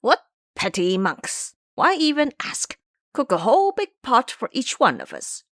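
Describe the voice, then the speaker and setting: Woman playing grumbling pig monk, male, greedy, rude, swooping pitch, audio drama. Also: huge pitch swings, measured pace, no room sound, clean studio sound. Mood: scornful. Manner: rude, complaining.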